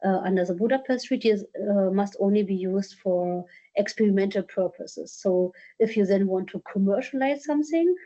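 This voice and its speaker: A woman with a mellow, dark voice answers questions at a workshop. She talks in a halting way, full of fillers and restarts. The sound is dull and lo-fi. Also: medium pitch. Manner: hesitant, many fillers and restarts. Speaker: presenter from a culture collection. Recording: dull lo-fi conference audio.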